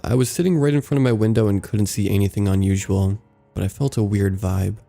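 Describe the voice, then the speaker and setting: A man narrating submitted true scary stories in a steady, low-key reading. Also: a little fast, gravelly, soft background music. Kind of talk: scripted horror story narration.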